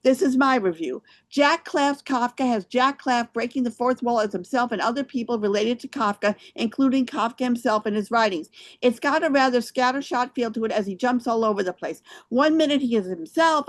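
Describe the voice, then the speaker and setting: Give me a woman presenting short written theater reviews, fairly high in pitch, recorded dry and close. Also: dry recording. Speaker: show host and theater reviewer. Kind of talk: scripted review read aloud.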